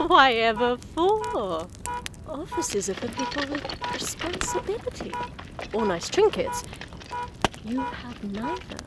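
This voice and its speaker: A woman's voice: high voice, very animated, takes it slowly, exaggerated voice. A woman playing a gleeful, mocking villain who styles herself an empress in an audio drama, theatrical and taunting. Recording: noisy scene with sirens behind.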